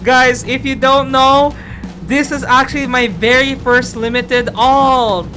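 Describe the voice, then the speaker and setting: A high-voiced woman hosts a playthrough with music clearly playing behind her. She moves between reading narration aloud and effusive, exclamatory commentary on the game. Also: fairly even intonation, slow, rough recording. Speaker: game streamer reading a visual novel aloud. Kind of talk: excitable let's-play commentary and story reading.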